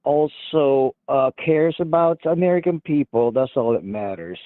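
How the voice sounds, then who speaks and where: A man in his fifties calling in by phone, hesitant and halting, full of uhs. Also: mid-range voice, dry recording. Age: 50s. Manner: hesitant, many uhs and restarts. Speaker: caller. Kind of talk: phone-in conversation. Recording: tinny dry phone line.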